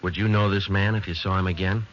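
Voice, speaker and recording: An actor playing a matter-of-fact police detective sergeant in a 1940s radio drama, speaking in a clipped, deadpan way. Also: male voice, low-pitched, quick pace, slight background noise, close to an everyday voice. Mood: grim, factual. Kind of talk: 1940s radio acting, first-person narration. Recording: muffled old radio recording.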